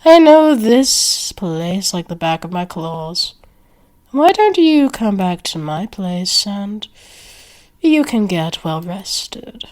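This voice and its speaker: A smug werewolf woman, played by a female performer in an audio roleplay. She talks very slowly at a medium pitch, teasing and smooth, flirty and protective with a taunting edge. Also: very animated, darkish tone. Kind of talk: ASMR roleplay acting.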